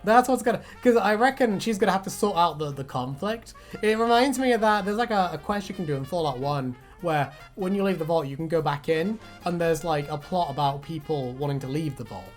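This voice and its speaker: A man's voice: a little high, a little fast, few pauses, faint music behind. A man hosting a casual chat in a rambling, joking way with crude humour. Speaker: host.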